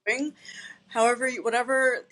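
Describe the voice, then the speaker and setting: A woman guest, a physician assistant and fitness instructor, talking in a relaxed, rambling way with many fillers. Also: fairly high pitch, brisk. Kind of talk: casual interview chat.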